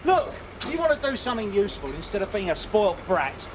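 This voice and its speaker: A man playing a world-weary, hard-nosed detective inspector, gruff and sardonic, in a TV police drama. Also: high voice, very animated, fast. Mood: scolding. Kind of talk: naturalistic TV police drama acting. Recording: slightly distant, noisy TV drama sound.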